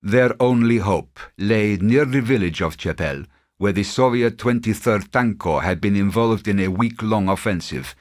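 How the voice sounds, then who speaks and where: A man narrating a war game cutscene in a grave, measured, scripted documentary style. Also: sits low. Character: solemn male narrator of a war campaign.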